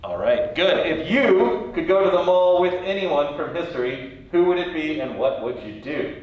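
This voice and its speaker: A man's voice: a little high, very animated, moderate pace, dull audio. A man hosting a light, playful interview, asking questions in an informal, quirky, jokey way. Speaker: interview host. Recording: very echoey room, distant mic.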